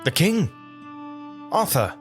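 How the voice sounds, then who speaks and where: An earnest, devoted knight, formal and halting in his speech. His intonation moves widely, and music plays clearly beneath this intimate audio drama scene. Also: fairly high pitch, very animated. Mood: apologetic, torn. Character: Lancelot, earnest, hesitant, devoted male knight. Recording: music under the voice.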